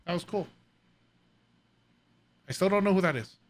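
A man's voice: high voice, moderate pace. A man live-streaming a game, chatting casually and welcoming his viewers, with the odd swear word thrown in. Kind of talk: casual livestream chat while gaming. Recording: loud game music behind. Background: rhythm game music with guitar.

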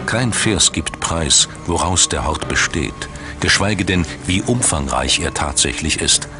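A man's voice: sits low, animated, measured pace. A man reading scripted documentary narration as a studio voice-over. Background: music.

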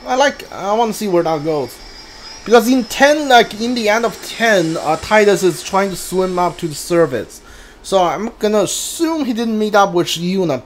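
A man giving casual, rambling live game commentary, hesitant and thinking aloud. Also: fairly high pitch. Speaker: streamer playing a game blind. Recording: clearly noisy background.

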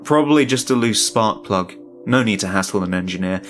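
A man's voice: on the low side, a little fast, soft background music, natural voice. A man voicing a calculating killer father who feigns casual, reassuring helpfulness in a horror story. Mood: falsely friendly.

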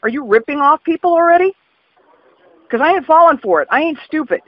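A person talking casually and bluntly on a telephone call, questioning the other party. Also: male voice, very high voice, expressive, a little fast. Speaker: call recipient. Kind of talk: casual phone conversation. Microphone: telephone, dry close pickup. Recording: dull, lo-fi phone call recording.

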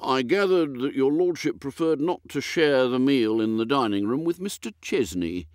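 A man voicing a deferential British butler, formal, measured and precise, in an audiobook. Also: medium pitch, lively intonation, darkish tone, no room sound, clean studio sound, pitched above the performer's own voice, close to an everyday voice. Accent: British English. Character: stately, deferential male English butler.

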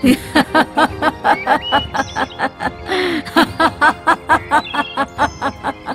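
A woman voicing a hungry, menacing, gloating forest witch in an animated horror story. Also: sits high. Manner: slow, drawn-out, cackling delivery. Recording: loud music behind. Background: music.

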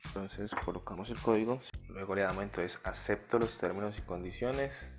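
This is a Colombian man with a fairly deep voice and a strong gravelly creak. He talks as a tutorial host, guiding listeners one step after another. Faint music plays behind his voice-over. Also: moderate pace. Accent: Colombian Spanish. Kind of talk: step-by-step app tutorial narration.

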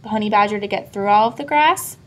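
A woman reading a children's story aloud expressively, pausing to put questions to a young listener. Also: mid-range voice. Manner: asks a child engaging questions. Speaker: storybook reader.

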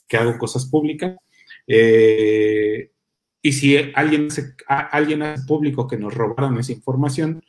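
A man's voice: medium pitch, darkish tone. A young man with a Mexican Spanish accent, a security consultant talking loosely in a livestream, full of restarts and slang. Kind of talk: casual livestream talk. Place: small room indoors, seated at a desk.

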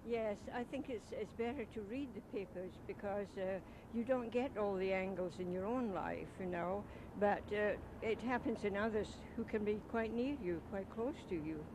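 A woman's voice: mid-range voice, normal speed, few pauses. An older woman giving a casual answer in an outdoor street interview into a handheld microphone. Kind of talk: casual vox pop answer. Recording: noisy outdoor street tape, traffic.

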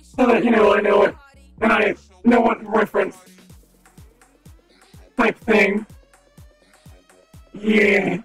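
A man with a strikingly high, slightly raspy voice who chats upbeat and casually to a live stream audience. He speaks into a desk mic. Music plays clearly underneath him. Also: little pitch movement, slow pace, long pauses, fairly bright tone. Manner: chatty, upbeat, talks to chat.

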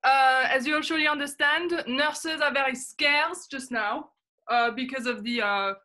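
High sharp female voice, French accent, officious distracted receptionist, acted by a young woman, hesitant, politely dismissive, video-call play. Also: normal speed. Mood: apologetic, dismissive. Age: young adult. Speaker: actress. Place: indoors, plain room. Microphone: webcam video call.

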